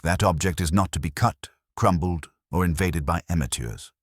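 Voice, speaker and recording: A man's voice: low-pitched, normal speed, no room sound, clean studio sound. A man voicing an imperious, exacting genius detective, pompous and formal, in an audiobook. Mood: stern.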